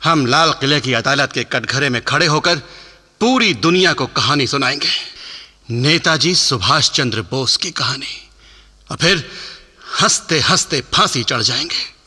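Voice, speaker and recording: Husky, forceful soldier in long reverb. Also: male voice, mid-range voice, lively intonation, a little slow, exaggerated voice. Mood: proud, wistful. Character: devoted, fearless freedom-army soldier, proud and idealistic. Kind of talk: Hindi radio play acting.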